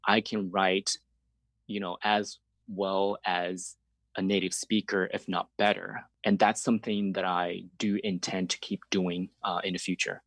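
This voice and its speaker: A man's voice: low voice, flat delivery, measured pace, fairly bright tone, no room sound. A man from China, a native Chinese speaker, giving earnest, reflective interview answers as a journalist and explaining his points conversationally.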